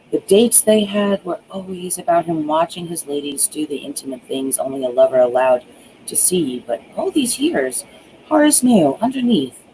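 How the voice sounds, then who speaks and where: A narrator reads a dark thriller in a dramatic audiobook style. The voice is mellow and full of vocal fry, leaning on key words with hushed, drawn-out emphasis. Some background noise sits under the homemade recording. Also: mid-range voice, rough recording. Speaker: author reading own book aloud.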